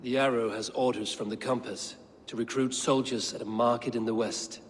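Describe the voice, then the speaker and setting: A man playing a grim, resolute Viking warrior in a video game cutscene, speaking in measured, terse, formal phrases. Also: mid-range voice, fairly even intonation, long reverb tail, a little hiss or hum, natural voice. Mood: grim, determined. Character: grim, resolute male Viking warrior hunting a secret order.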